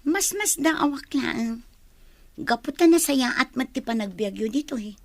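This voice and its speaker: A high-pitched young female character, played by a woman for radio drama. She is outspoken and headstrong, with emphatic phrasing and a plaintive edge. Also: expressive, a little slow, darkish tone, dry recording, exaggerated voice. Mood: teasing complaint.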